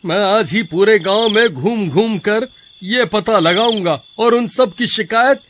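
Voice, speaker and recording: A man's voice: high-pitched, a little slow, noisy background. A man voicing a greedy, cowardly village milkman in a melodramatic cartoon story, whiny and exclaiming. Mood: pleading, submissive.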